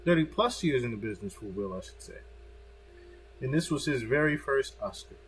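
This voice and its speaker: A man's voice: medium pitch, expressive, fairly quick, soft background music, low-quality recording. A man commenting on a video in a casual, emphatic way, repeating himself for stress and swearing freely.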